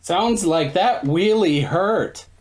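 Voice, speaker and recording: A man's voice: high-pitched, animated, slow pace, darkish tone. An actor playing an impatient male radio host who drops in wry remarks.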